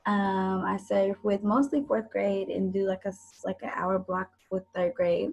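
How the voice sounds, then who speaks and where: A woman's voice: medium pitch, steady tone, a little slow, vocal fry, dull audio. A young woman from South Carolina introducing herself on a panel in an easygoing, giggly way. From South Carolina, USA. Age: young adult. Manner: easygoing, giggly, warm about students. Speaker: panelist, service member. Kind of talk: casual introduction on a video call. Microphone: video call.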